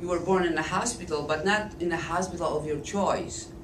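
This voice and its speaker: Hoarse woman in her fifties with a Polish accent, speaking slowly and carefully; distant camcorder sound in an echoey room. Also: lowish voice, background noise. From Poland. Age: 50s. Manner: slow, deliberate speech with frequent restarts. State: hoarse, voice lowered by illness. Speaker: interviewee recounting life story. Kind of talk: oral history testimony. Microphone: Flip camcorder at a distance.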